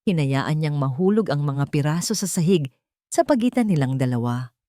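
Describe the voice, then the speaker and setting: A woman's voice: sits low, animated, moderate pace, warm tone, no echo, clean studio sound. A woman narrating a dramatic scripted story as an audiobook reader.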